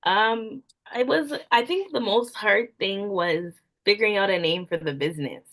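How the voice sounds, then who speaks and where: A young woman, an event planner, answering interview questions in relaxed casual talk, with lots of "like" fillers. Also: mid-range voice, normal speed. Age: young adult. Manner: soft-spoken, frequent "like" fillers.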